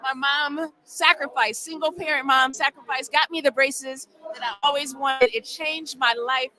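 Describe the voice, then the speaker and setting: A woman's voice: high voice, steady tone, moderate pace, bright, forceful tone, rough recording. A woman dentist being interviewed at a podcast event, upbeat and confident.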